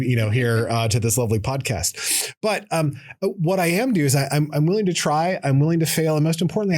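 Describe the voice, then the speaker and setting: A man's voice: mid-range voice, darkish tone. Earnest, self-deprecating man co-hosting a podcast. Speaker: podcast co-host. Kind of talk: casual conversational podcast. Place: studio.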